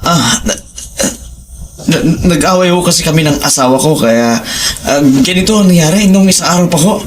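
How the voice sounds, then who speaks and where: A man's voice: fairly high pitch, a little fast, lightly acted. An actor playing a nervous, guilty-seeming man who stammers hesitantly as he talks to police in an audio drama. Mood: nervous, evasive. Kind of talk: Filipino audio crime drama acting. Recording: clear background noise.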